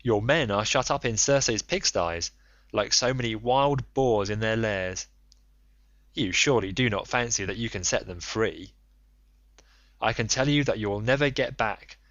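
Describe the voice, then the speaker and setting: A man's voice: mid-range voice, a bit bright, no room sound, muffled recording. A man voicing a helpful god disguised as a youth in an audiobook epic, assured and instructive. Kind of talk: audiobook character reading.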